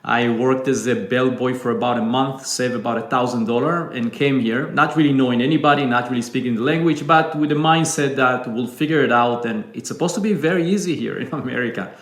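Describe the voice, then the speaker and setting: A man from Israel with an Israeli accent, a guest telling his story in a calm, reflective way. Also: mid-range voice, brisk, hardly any pauses. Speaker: business owner guest. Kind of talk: interview storytelling. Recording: echoey room.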